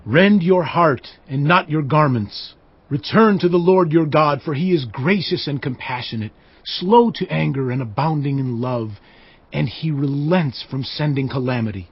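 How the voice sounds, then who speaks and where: A man's voice: a little high, measured pace, husky, no echo. A man narrating dramatized scripture with earnest, declamatory phrasing. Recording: dull lo-fi audio. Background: faint background music.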